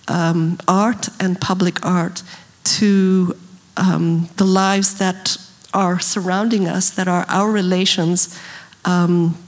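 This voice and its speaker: A woman speaking as an artist on a panel in a measured, thoughtful, halting way. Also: fairly low pitch. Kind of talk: reflective panel talk. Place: indoor event stage.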